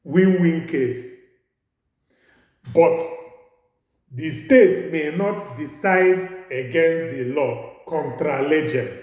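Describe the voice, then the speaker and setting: Man lecturing slowly in an echoey hall. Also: fairly high pitch, a little mellow. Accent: Nigerian English. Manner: halting, repeats words, stretches fillers. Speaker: law lecturer. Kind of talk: university lecture. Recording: very echoey room.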